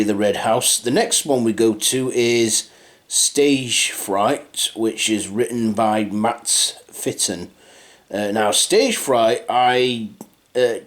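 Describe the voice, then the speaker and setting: Male reviewer, mid-pitched, talking off the cuff, halting and meandering, with frequent restarts. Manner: rambling, hesitant, often trailing off and restarting. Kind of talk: unscripted audio drama review.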